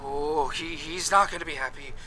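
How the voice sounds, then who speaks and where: A man's voice: moderate pace, soft background music, rough recording. An actor playing a smug, shady male quack healer who dodges questions, in an audio drama. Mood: panicked. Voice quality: high, bright voice, smug and evasive.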